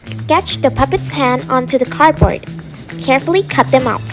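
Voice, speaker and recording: High-pitched young woman narrating over music. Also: normal speed. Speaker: tutorial narrator. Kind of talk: scripted craft tutorial narration. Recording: music behind the voice.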